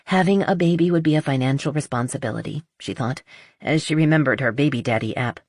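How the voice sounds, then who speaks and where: A woman with a low-pitched voice narrates romantic fiction. She reads scripted prose as an audiobook narrator. The sound is very dry, with no room around it. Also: fast talker, a little mellow, no room sound.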